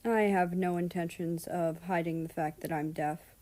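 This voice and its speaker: A woman's voice: fairly low pitch, steady tone, moderate pace, darkish tone. A woman reading fan fiction aloud as a first-person narrator.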